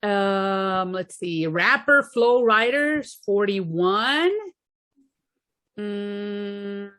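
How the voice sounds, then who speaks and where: A woman's voice: medium pitch, lively intonation, extremely slow, fairly bright tone. A woman hosting a podcast, chatting casually over a video call, laughing often and reading from a list. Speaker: podcast host. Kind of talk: casual chat.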